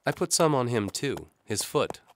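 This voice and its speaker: A man's voice: mid-range voice, very animated, normal speed, noisy background, pitched above the performer's own voice, lightly acted. A man voicing a resourceful, practical maid with a terse, matter-of-fact way of talking in an audio drama. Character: resourceful young maid in disguise, brisk and practical.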